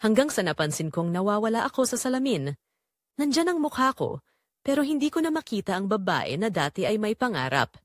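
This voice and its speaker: A woman playing an unhappy wife and mother with a Filipino accent, confessing her betrayal in measured, reflective first-person audio drama narration. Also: medium pitch, big pitch swings, dry recording, clean studio sound, natural voice. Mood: confessional, wistful.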